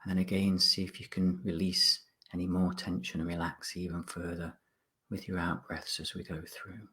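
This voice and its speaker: A man instructing listeners through a guided body scan relaxation, speaking politely in short phrases with pauses. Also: low voice, level tone, bright, forceful tone.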